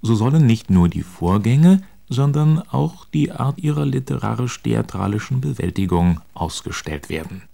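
A man reading scripted quotations for a radio feature in a formal, deliberate manner. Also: medium pitch, animated, gravelly, warm tone.

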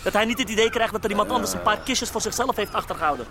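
An actor playing a bossy, impatient hashish dealer in a radio drama, with pushy, mocking street slang. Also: male voice, high voice, rapid-fire, vocal fry, noisy background. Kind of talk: naturalistic Dutch radio drama acting.

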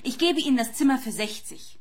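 Brisk, sceptical hotel receptionist. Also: female voice, high voice, expressive. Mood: businesslike. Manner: businesslike, questioning. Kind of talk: radio play acting.

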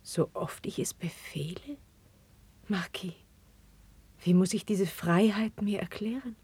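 An actress playing a dignified, guarded queen in a formal, hushed manner, in the style of classical 1950s radio drama. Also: medium pitch, slow, husky, no room sound. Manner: formal, stately, hushed verse delivery.

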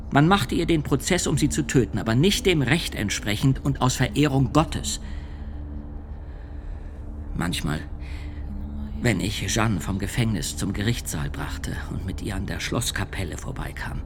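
A man playing a sombre male witness testifying at a trial in a radio drama, telling his account in a measured, hushed way, with music underneath. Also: fairly low pitch. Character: male church usher, a grave witness.

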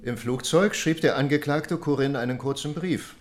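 An actor playing a formal, precise court official reading out and questioning in a radio drama. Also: male voice, medium pitch, moderate pace, close to an everyday voice.

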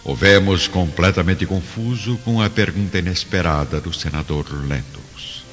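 A man's voice: deep voice, moderate pace, fairly bright tone. A man narrating a radio drama in a solemn, theatrical storytelling style. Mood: dramatic. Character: grave dramatic storyteller of Roman tale. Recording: reverberant, muffled audio with faint music.

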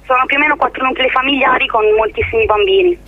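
High-voiced man on the phone. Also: lively intonation, quick pace, no room sound. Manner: informal, wordy, emphatic repetition. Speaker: activist spokesperson for a squatted social centre. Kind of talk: live phone interview, spontaneous eyewitness account. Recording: tinny phone line.

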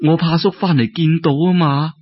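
A man voicing a timid, gentle young maiden with a Cantonese accent, hesitant and polite, in a told folk tale. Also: fairly high pitch, fairly even intonation, normal speed, breathy edge, no room sound. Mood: wistful, anxious. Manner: hesitant, polite, soft-hearted. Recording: dull lo-fi radio-like recording.

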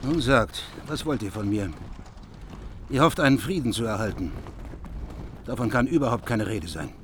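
An actor playing a grieving, implacable king in a German radio drama, stern and deliberate. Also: mid-range voice, lively intonation, a bit bright, slight background noise, close to an everyday voice. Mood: bitter, stern. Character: grieving, implacable king Etzel refusing all mercy.

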